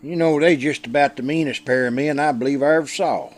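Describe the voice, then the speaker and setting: A man's voice: a little high, fast talker, close to an everyday voice. Folksy, deferential Western deputy played by a man. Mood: respectful, chatty. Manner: folksy, chatty. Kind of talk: old-time radio Western acting.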